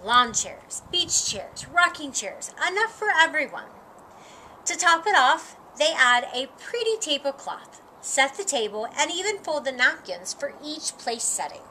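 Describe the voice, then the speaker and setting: Bright, high-pitched woman reading a storybook. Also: measured pace, slight background noise, rough recording. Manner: animated, acts out the dialogue. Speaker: storytime reader. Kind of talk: expressive children's picture-book read-aloud. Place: outdoor patio with lattice and ivy.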